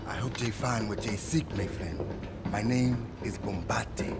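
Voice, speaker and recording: An actor playing a friendly, weary, streetwise prisoner in a video game, speaking in measured, broken English. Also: male voice, lowish voice, loud music behind the voice, natural voice. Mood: resigned, friendly. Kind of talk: video game cutscene acting.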